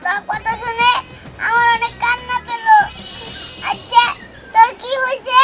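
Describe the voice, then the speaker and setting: A squeaky kindly ghost. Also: flat delivery, unhurried. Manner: very high squeaky put-on voice. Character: kindly ghost who befriends a boy. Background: background music.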